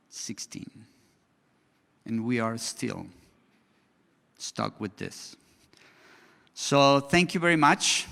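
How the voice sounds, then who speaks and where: A male conference speaker who is not an English speaker, talking slowly in a halting way with many restarts as he presents to an audience and takes questions. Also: mid-range voice, gravelly, a bit bright. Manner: slow, halting, frequent restarts. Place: large conference hall. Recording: hall reverb.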